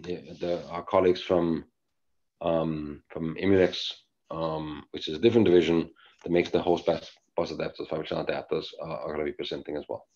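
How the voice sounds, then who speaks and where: A very deep-voiced middle-aged man from Denmark talks through a work presentation over a video call from his living room. He rambles with plenty of fillers, restarts and doubled words. The sound is dull and lo-fi, like a laptop webcam mic. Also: a little mellow. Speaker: engineering executive presenter. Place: living room at home, evening.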